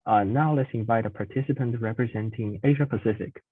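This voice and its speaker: A man's voice: mid-range voice, brisk, husky, no echo. A man moderating an online meeting, politely thanking speakers and introducing the next. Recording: tinny phone-like audio, dry.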